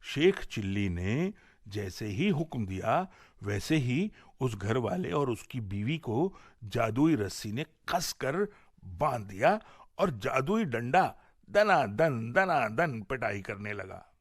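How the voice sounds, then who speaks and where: A man with an Indian accent tells a folk tale for radio. His pitch moves widely and expressively through each sentence, and the recording is close and dry. The delivery is scripted and dramatic. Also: slow, no room sound. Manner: dramatic, animated storytelling delivery. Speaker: storyteller and presenter on a radio show.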